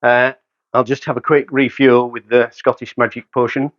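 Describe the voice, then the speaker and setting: Guy in his 50s talking thru a hands-on setup bit by bit, matter of fact, average pitch, bit muffled. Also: flat delivery, moderate pace, fairly bright tone. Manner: matter-of-fact, step-by-step narration in short phrases. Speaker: hi-fi dealer presenter. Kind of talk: hands-on product setup demonstration, talking while working. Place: carpeted indoor showroom room.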